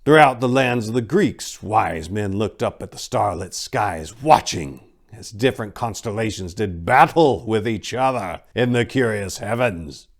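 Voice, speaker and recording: Male narrator, lowish pitch, dramatic reading, theatrical, deliberate phrasing, emphatic swells. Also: expressive. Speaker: podcast host and narrator. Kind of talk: dramatic scripted story narration.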